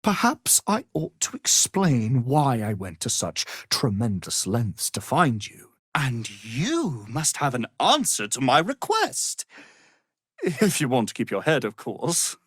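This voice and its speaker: An arrogant, menacing wizard from a fantasy game, speaks in an unhurried, highly animated voice. He is pompous and condescending, delivering each phrase with theatrical relish. The recording is dry and close. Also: slightly rough, no room sound, full character voice. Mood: smug, threatening. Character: arrogant, power-hungry male wizard, haughty and menacing.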